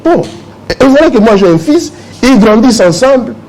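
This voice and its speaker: A man's voice: extremely high pitch, animated, normal speed, a little mellow, poor audio. A man speaking as a jurist guest in a morning interview, explaining legal texts and reading articles aloud to the host. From Democratic Republic of the Congo.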